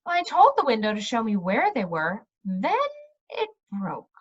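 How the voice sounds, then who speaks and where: A brisk, assertive storybook heroine, acted by a woman reader, with a fairly high and lively voice. She speaks directly and firmly. The sound is a little dull and lo-fi. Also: husky, exaggerated voice. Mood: explaining. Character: brisk, assertive female dragon's cook and librarian.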